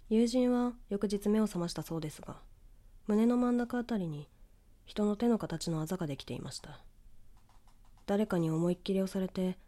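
Woman telling a scary story like an audio ghost tale, soft mellow tone, measured scripted reading. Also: mid-range voice. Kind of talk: scripted horror story narration.

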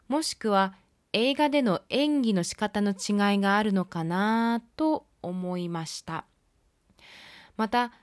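A woman's voice: mid-range voice, no room sound. Woman narrating a film review, dry close sound. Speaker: film review podcast host.

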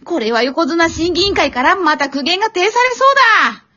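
A woman's voice: very high-pitched, sing-song, pushed and bright, highs cut off, exaggerated voice. A woman playing a playful, bossy mother who commentates like a sumo announcer in an amateur comedy radio drama. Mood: mock excitement. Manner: brisk, mock-serious commentary.

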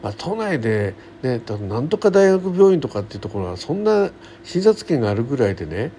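A man's voice: medium pitch, very animated, brisk, mellow. A middle-aged man hosting a solo radio show, chatting casually in a colloquial, folksy way. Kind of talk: casual solo chat, rambling daily-life anecdotes. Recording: faint background noise.

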